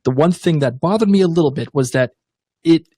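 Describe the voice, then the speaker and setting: A man's voice: a little high, brisk, warm tone, breathy edge, dry recording, studio quality. Enthusiastic rambling man on podcast. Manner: enthusiastic, rambling with fillers and restarts, laughs easily. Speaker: podcast co-host. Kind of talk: casual TV recap chat.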